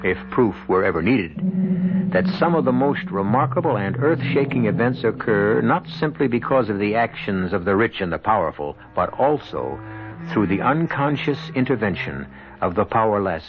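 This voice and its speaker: A man playing the solemn host of a radio mystery play, narrating in a deliberate, portentous way. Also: lowish voice. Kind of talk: dramatic scripted radio narration. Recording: dull old radio broadcast, music behind.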